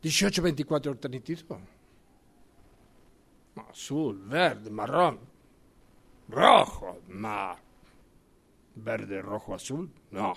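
Gravelly, expressive man. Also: medium pitch, takes it slowly, long pauses, a little hiss or hum. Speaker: radio drama workshop participant. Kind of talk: acting exercise, numbers as dialogue.

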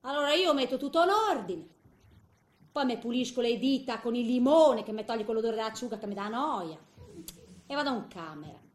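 Actress as high-voiced old Ligurian woman, roomy sound. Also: lively intonation, brisk, natural voice. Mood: tender, wistful. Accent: Ligurian Italian. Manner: chatty, homely, hesitant storytelling with little interjections. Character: tender, chatty eighty-year-old Italian woman in love. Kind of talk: theatrical comic monologue.

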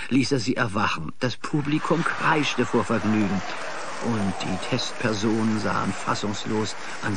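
An actor narrating a children's radio play in a measured, scripted storytelling style. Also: male voice, on the low side, background noise.

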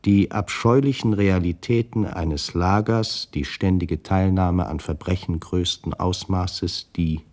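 An actor playing a self-justifying former male camp commandant, delivering a formal memoir monologue in a measured, detached manner for a radio play. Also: low-pitched, steady tone, darkish tone. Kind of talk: monologue, written memoir read aloud.

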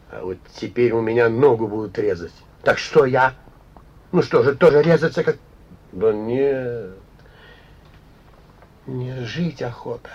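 Wounded inventor, creaky voice, animated pitch swings, rambling, teasing, Soviet radio drama. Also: male voice, full character voice. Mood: bitter, restless. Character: feverish, talkative injured inventor, blunt and mocking. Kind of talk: Soviet radio play acting. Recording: muffled old radio recording.

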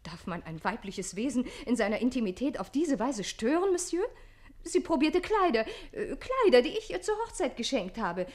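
An actress playing a proud, indignant countess in a radio play, speaking in a haughty, formal manner. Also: female voice, on the high side, sing-song, moderate pace. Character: proud, indignant countess, evasive wronged wife. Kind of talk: classic German radio play acting.

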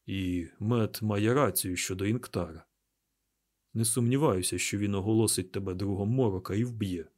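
A man voicing a calm, burly young man in an audiobook, speaking quietly and deliberately. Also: lowish voice, lightly acted. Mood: measured, serious.

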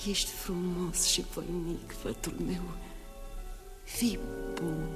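A tender young empress and mother, as an actress plays her, speaking in a soft, hushed, intimate way. It is an old radio play recording with a long reverb trail and faint music behind her. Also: on the low side, little pitch movement, natural voice. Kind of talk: 1960s radio drama acting.

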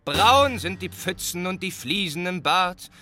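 A solemn village voice recites a litany for a radio play. The pitch sits very high for a man, and the verse is delivered as an incantatory list. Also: animated, unhurried, sharp tone, heavily acted.

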